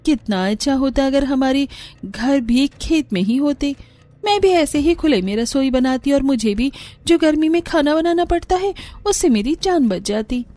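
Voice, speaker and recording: A woman's voice: high-pitched, expressive, moderate pace, dark tone, dry recording, pitched above the performer's own voice. A woman voicing an earnest young daughter-in-law from a poor village, worn by the heat, in a cartoon. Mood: wistful.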